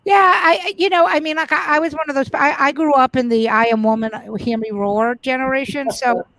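A middle-aged woman comedian with a fairly high voice tells her stories frankly and with plenty of swearing. She trips over her words and restarts them as she goes. The sound is close and dry. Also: fairly even intonation, fairly quick, a bit bright, dry recording. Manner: frank, profane, many restarts. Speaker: comedian guest. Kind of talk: casual interview storytelling.

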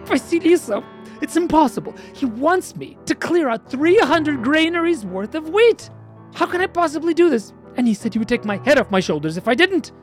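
A woman doing a high, tearful young prince. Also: big pitch swings, normal speed, faint music behind. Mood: distressed. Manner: high, anxious, breathless pleading.

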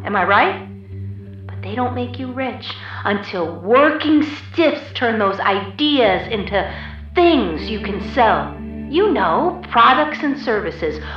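An actress playing a proud, passionate working-class communist prisoner in a radio play monologue, talking in a colloquial, emphatic, storytelling way. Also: female voice, a little high, lo-fi sound. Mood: defiant, indignant.

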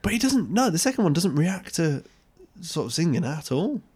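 A man's voice: fairly high pitch, big pitch swings, moderate pace, darkish tone, slightly rough. A man in his thirties, co-hosting a podcast in casual chat, hesitant and searching for words. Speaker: podcast co-host.

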